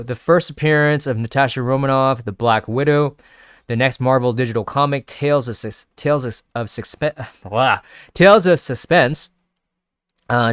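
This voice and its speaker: A man's voice: medium pitch, a bit bright, clean tone, no echo, studio quality. A man hosting a casual video, thinking aloud with many fillers and restarts while sniffly from sneezing. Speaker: crypto video host. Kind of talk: casual screen-share commentary.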